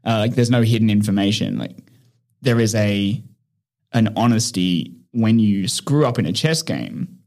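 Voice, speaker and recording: A man's voice: on the low side, lively intonation, measured pace, a little mellow. A man who founded an online chess training platform, speaking reflectively as a guest in a casual interview and often laughing as he searches for words.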